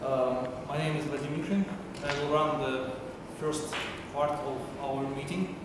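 Halting male host in an echoey room. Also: on the high side, distant mic, noisy background. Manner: halting, many fillers. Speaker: meeting host. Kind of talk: hosting a public speaking club meeting. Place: large indoor meeting room. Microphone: far camera.

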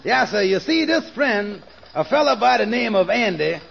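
An actor playing a talkative, excitable man at the wheel in a 1940s radio comedy, rambling along in a folksy way. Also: high voice, moderate pace, vocal fry, heavily acted. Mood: chatty, excitable. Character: talkative, excitable man chatting while driving a car. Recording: noisy, muffled 1940s radio recording.

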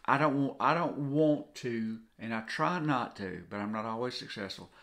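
A man's voice: medium pitch, normal speed, fairly bright tone. An older man with a Southern US accent giving an informal, earnest devotional talk, often repeating phrases for emphasis. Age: 60s or older. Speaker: co-host of a devotional video. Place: home kitchen, indoors.

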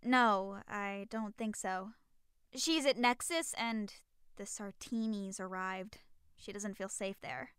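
A woman's voice: medium pitch, takes it slowly, a bit bright, clear, resonant tone, no echo, clean studio sound. A woman playing a small, perky but fierce non-binary lawyer in an audio drama. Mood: worried.

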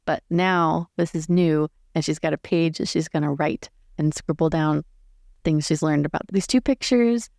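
A woman's voice: deep voice, moderate pace, creaky, a little mellow, dry recording, clean studio sound. A woman playing a tabletop roleplay game, casually narrating her character's choices and thinking aloud.

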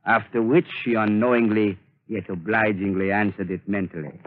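An actor playing a menacing mind-control villain. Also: male voice, lowish voice, fairly even intonation, normal speed, slight background noise, close to an everyday voice. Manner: smooth, menacing, theatrical. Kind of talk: 1930s radio drama acting. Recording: tinny old radio broadcast recording.

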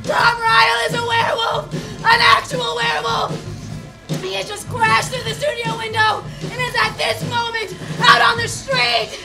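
A woman's voice: very high-pitched, little pitch movement, moderate pace, gravelly, raised voice, a loud crowd, rough recording. A woman playing a terrified radio reporter giving melodramatic eyewitness commentary in an old-time radio drama on stage. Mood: horrified, urgent. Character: terrified female radio reporter witnessing a monster on the loose. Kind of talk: 1920s radio drama acting. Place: dark small theater stage. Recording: live stage show, music and audience behind.

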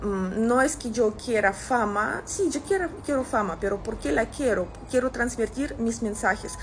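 A woman's voice: fairly high pitch, animated, moderate pace, slight background noise. A woman in her thirties from Russia, with a Russian accent, chatting casually to camera as she replies to comments. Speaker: social media content creator. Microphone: phone at arm's length.

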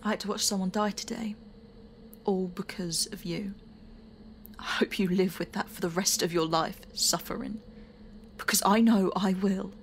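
Woman playing a resolute survivor, medium pitch. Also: steady tone, normal speed, a little hiss or hum, lightly acted. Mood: bitter. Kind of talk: audio drama acting.

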